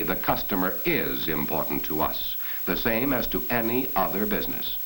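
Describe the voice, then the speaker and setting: Low-voiced 1940s training film narrator, animated man. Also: low-quality recording. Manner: earnest, instructive, addressing the viewer directly. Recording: hissy 1940s film soundtrack.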